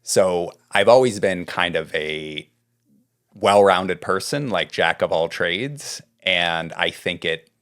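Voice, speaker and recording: A middle-aged man from California, a writing coach being interviewed, talking in a relaxed, rambling way. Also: sits low, slow. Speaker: guest, script doctor and writing coach. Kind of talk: casual interview talk.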